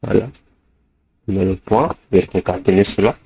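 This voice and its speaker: A man's voice: on the low side, normal speed, vocal fry, mellow, husky, lo-fi sound. A man with the flu, giving a screencast coding lesson in a hesitant way, thinking aloud with many fillers while he types. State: has the flu. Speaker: programming tutor.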